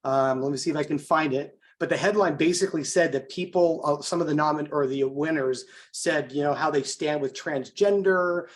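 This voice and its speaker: A man's voice: fairly high pitch, moderate pace, few pauses. An American man hosting a conversational political interview, talking informally and working his way round to his questions.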